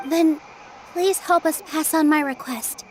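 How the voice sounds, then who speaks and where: A woman voicing a gentle young female dancer in a video game, modest, sincere and determined. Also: high-pitched, unhurried. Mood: earnest. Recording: faintly noisy game capture.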